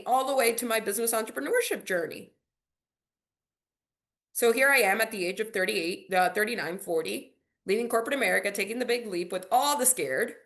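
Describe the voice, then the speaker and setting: A woman's voice: a little high, a bit bright. A woman in her forties, a native Spanish speaker, hosting a business coaching workshop with energy and spelling out key words. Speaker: workshop host.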